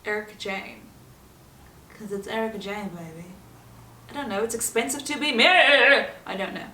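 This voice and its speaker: A woman's voice: medium pitch, normal speed, sharp tone. A giggly woman from South Africa with a South African accent chats casually about herself. Her first language is Afrikaans. She sits a little back from the mic in a roomy, echoing space, with a faint hiss behind her. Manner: chatty and giggly, laughing often. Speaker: vlogger. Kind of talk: casual get-to-know-me chat. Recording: roomy echo, voice from a distance.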